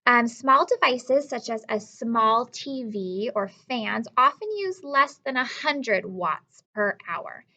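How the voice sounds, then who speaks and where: A woman's voice: on the high side, lively intonation, slow pace, hardly any pauses, sharp tone. A woman teacher reading aloud a lesson for children, explaining simply and encouragingly with questions to her young listeners. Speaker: elementary school teacher.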